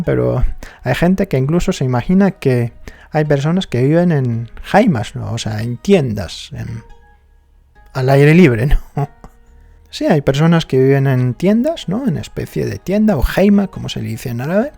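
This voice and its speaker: A man's voice: medium pitch, animated, normal speed, gravelly, mellow, no echo, faint music behind. Moroccan-accented man, casual explainer. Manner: conversational, many fillers like "digamos" and "¿no?". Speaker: vlogger.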